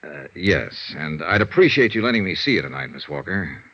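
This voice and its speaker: An actor playing a calm, businesslike insurance detective in 1950s radio noir, asking dry, clipped, understated questions. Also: male voice, low voice, fairly quick, exaggerated voice. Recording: dull vintage radio recording.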